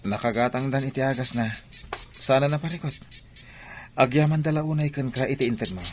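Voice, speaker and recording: An actor playing an evasive young two-timing lover in a radio drama, with an Ilocano accent. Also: male voice, medium pitch, moderate pace, slight background noise, close to an everyday voice. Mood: evasive, flustered. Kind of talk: Filipino radio drama acting.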